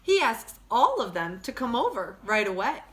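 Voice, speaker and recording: Female storybook reader for kids, teacherly, higher-pitched and very lively, roomy sound. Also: moderate pace, slight background noise. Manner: expressive, simple phrasing aimed at young children. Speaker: teacher reading a story to children. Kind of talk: children's storybook read-aloud. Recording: echoey room.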